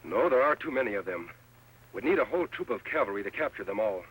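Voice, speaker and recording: An American actor playing a steady, commanding masked cowboy hero in an old-time radio western. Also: male voice, on the low side, quick pace, slightly rough, heavily acted, poor audio. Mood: resolute. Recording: old radio broadcast with music behind.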